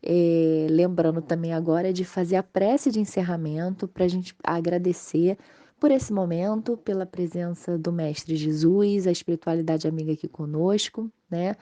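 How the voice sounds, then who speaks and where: A Brazilian woman with a slightly hoarse voice from a mild cold, giving an informal, explanatory religious study talk to viewers. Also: lowish voice, fairly even intonation, normal speed, mellow. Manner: explanatory, conversational, checking in with tag questions. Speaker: host of a spiritist study channel.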